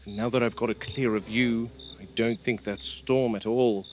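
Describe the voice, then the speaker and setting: A man's voice: mid-range voice, steady tone, normal speed, a little hiss or hum, close to an everyday voice. A man acting as a dogged game warden in a found-footage horror log, muttering urgently to himself and swearing as he tracks an escaped animal. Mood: wary, focused.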